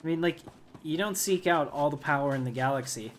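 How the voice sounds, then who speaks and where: A man's voice: fairly high pitch, fairly quick, slight background noise. A man giving casual, chatty gameplay commentary, thinking aloud to his viewers as he plays. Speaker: gamer hosting a playthrough.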